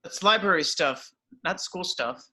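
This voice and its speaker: A man's voice: high voice, expressive, unhurried, pushed and bright, exaggerated voice. A man reading as a shy, bookish teenage boy, hesitant and earnest in a table read.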